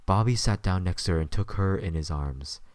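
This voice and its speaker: A man's voice: low-pitched, moderate pace, darkish tone, dry recording. A man narrating a scripted story as the narrator of an audio drama.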